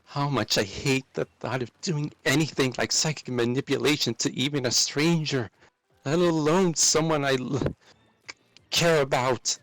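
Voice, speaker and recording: A man voicing a wounded, pleading young woman in a dramatic story reading, speaking in an earnest, halting and emotional way. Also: mid-range voice, fairly bright tone, no echo, pitched above the performer's own voice, low-quality recording. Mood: hurt, shaken.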